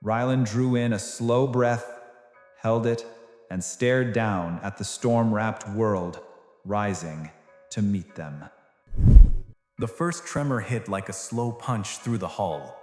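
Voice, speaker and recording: A man's voice: lowish voice, slow, darkish tone, reverberant, big-room sound. A man reading scripted, dramatic science fiction narration as the narrator of an audio drama. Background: music.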